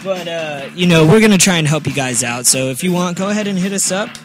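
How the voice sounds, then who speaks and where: A man in his twenties hosts a casual podcast with a fairly high voice. He talks in a slangy, joking way, like chatting with friends. Music plays clearly behind him. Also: fast. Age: 20s. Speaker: podcast host.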